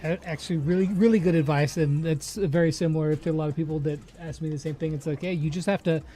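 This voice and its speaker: A woman's voice: sits low, fairly even intonation, fast, dark tone. A woman hosting a casual interview, informal and affirming as she chats with her guest. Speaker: interviewer, host.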